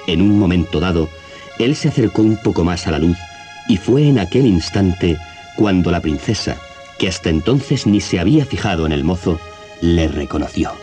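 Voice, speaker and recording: A man's voice: sits low, a little mellow. A man with a Castilian Spanish accent narrating a scripted children's story on an old cassette. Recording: 1980s cassette, music behind.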